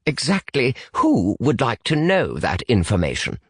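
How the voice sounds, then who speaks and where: A man's voice: medium pitch, huge pitch swings, a little slow, husky, no room sound, studio quality, voice pushed up, heavily acted. A man voicing a stiff, formal young British man with precise wording, anxious beneath it, in an audiobook story. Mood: guarded.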